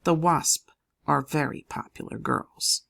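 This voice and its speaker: A woman's voice: low voice, takes it slowly, vocal fry, a bit bright, exaggerated voice. A woman voicing a nervous, proper young woman who speaks politely and earnestly.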